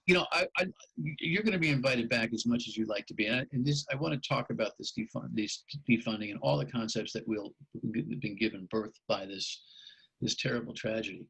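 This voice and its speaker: A man's voice: mid-range voice, a little fast, creaky, a bit bright, breathy edge, poor audio. A man hosting a radio talk show, bluntly challenging his guest and often restarting his sentences. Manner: restarts and stumbles over words.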